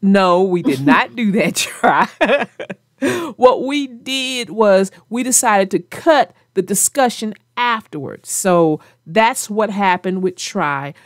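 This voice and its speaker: A woman's voice: mid-range voice, lively intonation, slow pace, no echo, clean studio sound. A woman hosting a children's read-aloud, narrating a storybook and chatting casually about it.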